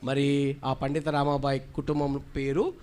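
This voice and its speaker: A man's voice: medium pitch, level tone, unhurried, a little mellow, clear, resonant tone. Male straight man for a kids' Sunday school puppet act: mid-range voice, teacherly, asks and corrects patiently.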